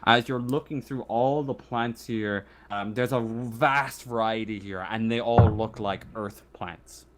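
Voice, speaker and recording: A man improvising narration as game master of a tabletop roleplaying game, thinking aloud in a halting way. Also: medium pitch.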